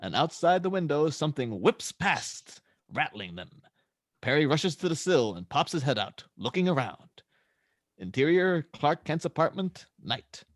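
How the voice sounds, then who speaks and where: A man's voice: fairly high pitch, very animated, a little slow, dry recording. A man narrating screenplay stage directions at an amateur table read.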